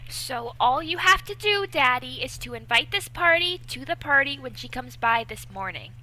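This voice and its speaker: Casting: actress for a scheming teen daughter in radio comedy; high, forceful, earnest and wheedling. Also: female voice, animated, normal speed, poor audio. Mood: pleading, earnest. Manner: earnest, coaxing, bossy. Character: perky, scheming teenage schoolgirl, the principal's daughter. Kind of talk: old-time radio comedy acting.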